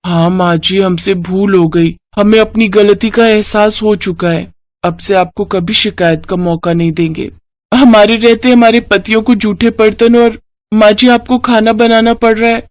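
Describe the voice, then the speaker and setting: A woman's voice: medium pitch, fairly even intonation, unhurried, dry recording, natural voice. A woman voicing a contrite young daughter-in-law in a cartoon story, respectful and apologetic. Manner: apologetic, pleading.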